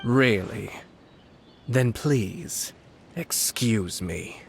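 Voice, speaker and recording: A man playing a shrewd, composed young noble commissioner in a video game, speaking in a measured, formal way. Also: fairly low pitch, expressive, vocal fry, a little hiss or hum, exaggerated voice. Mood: quietly stern. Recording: music behind the voice.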